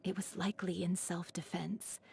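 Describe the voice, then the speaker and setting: An actress playing a soft-spoken widowed mother in a video game, gentle and measured in her sorrow and guilt. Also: lowish voice, flat delivery, gravelly, a little hiss or hum. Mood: sorrowful, resigned.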